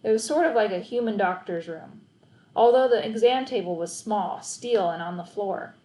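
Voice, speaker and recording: Lady doing read aloud narration of a childrens story, normal pitch, roomy echo. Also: animated, normal speed, mellow. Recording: echoey, roomy sound.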